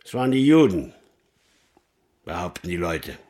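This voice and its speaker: An actor playing a stern, suspicious master butcher in a radio play, curt and insinuating. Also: male voice, low-pitched, sing-song, moderate pace, darkish tone. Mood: accusing. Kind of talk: German radio play acting.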